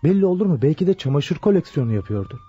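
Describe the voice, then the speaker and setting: An actor playing an eager, deferential junior police detective in a radio drama. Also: male voice, fairly high pitch, big pitch swings, racing. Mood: eager, questioning. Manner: deferential, questioning. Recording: dull, noisy radio drama recording.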